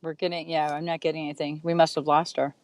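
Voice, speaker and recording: A woman's voice: sits low, flat delivery, fast, a little mellow, dry recording. A woman co-hosting an online interview, reflective and easygoing. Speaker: co-host. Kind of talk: casual chat. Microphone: video call.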